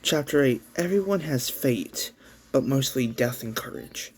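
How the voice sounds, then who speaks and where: A man reads his own homemade story aloud in a slow, unhurried way. His voice is mellow and relaxed, and the delivery is offhand and casual, like a channel host chatting between chapters. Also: medium pitch, slight background noise. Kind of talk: casual read-along narration.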